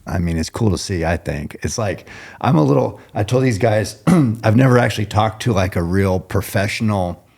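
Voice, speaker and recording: A man's voice: fairly low pitch, lively intonation, moderate pace, vocal fry, a little mellow. A middle-aged man hosting a podcast interview, talking in a loose, rambling way, often restarting his sentences and laughing. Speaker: podcast host. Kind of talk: casual interview chat.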